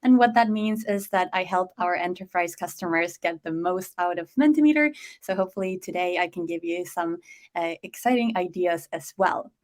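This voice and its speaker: A woman's voice: on the low side, moderate pace. A woman from Finland, a customer success manager, introducing herself on a webinar in a friendly, lightly humorous way.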